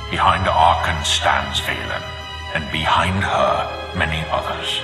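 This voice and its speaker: An actor playing the solemn, commanding ghost of a fallen dark lord in a video game, speaking in grave, deliberate declamation. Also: male voice, full character voice. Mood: stern, commanding. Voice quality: deep, gravelly. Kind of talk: dramatic video game acting. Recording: reverberant hall echo, music underneath.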